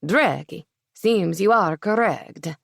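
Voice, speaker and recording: A woman's voice: mid-range voice, creaky, dry recording, studio quality. A woman voicing a stern older man with an Italian accent, head of a crime family. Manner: stern, measured, commanding.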